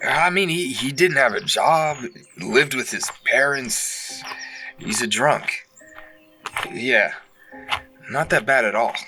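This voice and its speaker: A man's voice: mid-range voice, slow pace, pauses often, music in the background, natural voice, rough recording. A man playing an affectionate, easily spooked boyfriend with a teasing, casual way of talking in an audio drama. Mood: playful, affectionate.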